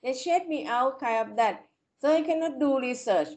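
A middle-aged woman from Thailand with a Thai accent, a university lecturer giving an online panel talk in halting English full of fillers, speaking over a video call from a tablet at home. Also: sits high, animated, a little mellow. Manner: halting, many "kind of" fillers and restarts.

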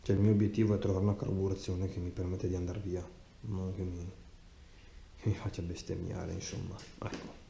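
A man's voice: low voice, flat delivery, a little fast, creaky. A man chatting casually about his motorcycle, hesitant and full of fillers, recorded hands-free in a cluttered garage. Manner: rambling, hesitant, many fillers and restarts. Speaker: motorcycle vlogger. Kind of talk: casual unboxing vlog talk. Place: cluttered garage at night, indoors. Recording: dull roomy garage recording with faint hiss.

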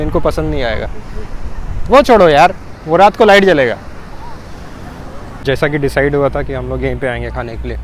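A man's voice: mid-range voice, rough recording. A young man chatting casually to camera as a travel vlogger, teasing his family and dropping English phrases into his talk, filmed at arm's length on a lapel mic by the sea. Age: young adult. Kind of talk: casual vlog chat. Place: windy beach outdoors, then beach cafe. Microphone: lapel mic, selfie camera held at arm's length.

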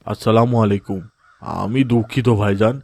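Low-voiced earnest cartoon father, acted by a man. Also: steady tone, moderate pace, gravelly, darkish tone, no room sound. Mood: regretful. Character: adult father, dejected and easily swayed. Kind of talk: cartoon voice acting in moral story.

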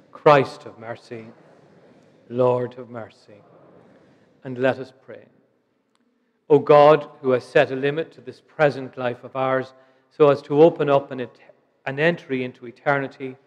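Irish priest, middle-aged, monotone liturgical delivery, muffled church stream recording. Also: male voice, medium pitch. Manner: measured liturgical recitation. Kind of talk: leading funeral Mass prayers. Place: large church. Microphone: stand mic at altar. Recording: lo-fi church livestream with long reverb.

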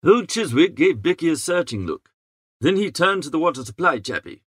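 A man narrates a comic story with very animated intonation and a fairly high voice. His delivery is chatty and wry, like an idle gentleman telling an anecdote. The recording is close and dry. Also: fairly quick, breathy edge, dry recording. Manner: chatty, wry upper-class idler's phrasing. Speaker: audiobook narrator. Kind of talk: scripted first-person comic story narration.

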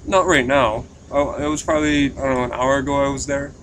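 A man chats casually, as if live streaming or on a prank call. His delivery is halting, with repeated words. There is faint noise behind him. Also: mid-range voice, fairly even intonation. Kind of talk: casual livestream chat and prank phone call.

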